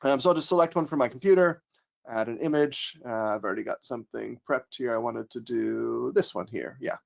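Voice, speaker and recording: An American man guides a software webinar in an easygoing, filler-heavy way. His voice sits at a middle pitch. It comes through a computer mic on a call, a little dull. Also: brisk, gravelly. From United States. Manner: casual, many ums and restarts, upbeat when introducing topics. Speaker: trainer and pedagogical consultant. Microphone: computer mic on a video call. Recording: slightly dull webinar audio.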